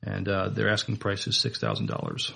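A man co-hosting a podcast, casually introducing items in a hesitant, halting way. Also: fairly low pitch, sing-song, vocal fry, pushed and bright, husky. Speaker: podcast co-host.

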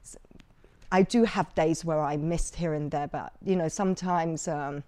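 A woman's voice: deep voice, steady tone, normal speed, darkish tone. A woman guest in a casual interview, chatty, with frequent fillers and easy laughter.

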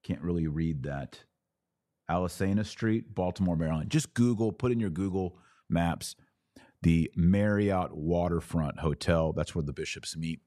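A man with a low-pitched voice hosts a live show in a conversational, direct style. He urges his listeners along as he moves through comments and commentary. The sound is dry, with very little room in it. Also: moderate pace, warm tone, no room sound. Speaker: podcast host. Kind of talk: casual live commentary, reading and answering viewer comments.

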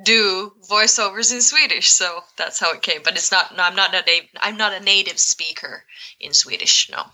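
A woman's voice: mid-range voice, normal speed, sharp tone, low-quality recording. A woman, a voice actor and presentation coach, answering interview questions in a chatty way, laughing often. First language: Finnish and English.

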